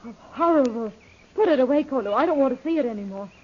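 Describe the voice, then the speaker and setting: High-pitched headstrong young heroine. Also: female voice, lively intonation, quick pace, husky. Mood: shaken. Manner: dramatic, insistent. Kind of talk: old-time radio serial acting. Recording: dull lo-fi old radio recording.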